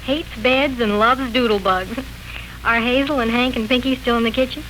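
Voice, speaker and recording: A woman plays a mild, tactful young family member in a 1940s radio drama. She has a rather high voice and a thoughtful manner, with faint noise from the aged recording. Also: fairly even intonation, moderate pace, a bit bright, natural voice, low-quality recording. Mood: light, friendly. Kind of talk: 1940s radio serial acting.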